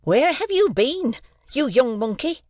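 A man voicing a harsh, bullying older housewife who scolds loudly, in a storybook reading. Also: very high-pitched, big pitch swings, a little slow, no room sound, clean studio sound, pitched above the performer's own voice, heavily acted. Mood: scolding. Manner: loud, scolding, stressed words.

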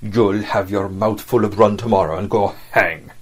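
A man's voice: on the low side, steady tone, husky. A man voicing a sly, scornful, commanding sea cook for an audiobook, in speech with emphatic rustic phrasing. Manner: rustic sailor talk, emphatic, drawn-out delivery. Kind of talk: audiobook character acting.

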